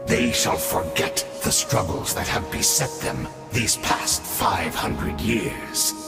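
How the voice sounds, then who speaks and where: Menacing abyss herald for a fantasy game: low, slow, pompous and condescending, drenched in echo. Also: male voice, heavily acted. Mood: triumphant. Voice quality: deep, gravelly. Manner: theatrical, pompous, condescending, drawn-out. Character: grandiose, menacing male Abyss herald villain. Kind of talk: fantasy video game voice acting. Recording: echoey, long reverb, music behind.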